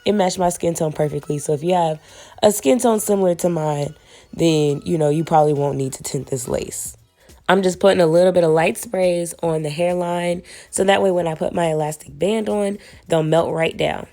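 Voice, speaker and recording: Woman, young adult, hoarse from a cold, low voice, chatty, slangy wig tutorial. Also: steady tone, normal speed, mellow, faint music behind. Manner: casual and chatty, slangy, addresses viewers as y'all. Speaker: beauty vlogger. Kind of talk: casual wig tutorial chat.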